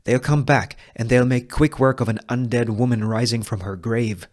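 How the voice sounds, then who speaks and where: A man's voice: medium pitch, fairly even intonation, moderate pace, a little mellow, lightly acted. A man voicing a tough, cool-headed, scheming woman gunslinger in a Western script, sardonic and commanding. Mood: threatening.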